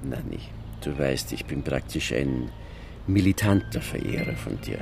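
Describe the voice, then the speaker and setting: An older Bavarian kiosk regular for a radio play, deep and creaky. He grumbles and teases with crude, wry humour, his pitch swinging about, over faint background noise. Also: big pitch swings, measured pace, full character voice. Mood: indignant grumbling. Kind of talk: radio drama acting in dialect.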